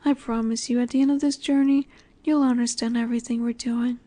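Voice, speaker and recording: A woman playing a dominant, nurturing snake-woman monster in an intimate roleplay audio, speaking in a gentle, coaxing way that often sinks toward a whisper. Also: fairly high pitch, level tone, fairly quick, natural voice. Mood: gently firm.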